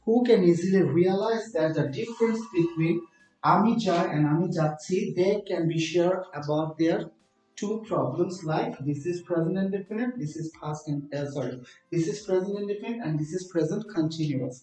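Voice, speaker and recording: A Bangladeshi-accented man, Bengali his first language, explains grammar slowly and patiently to a class, checking in with questions. His voice sits at an ordinary middle pitch. The sound is very echoey, picked up from a distance, with faint music behind. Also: a little mellow. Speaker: English teacher.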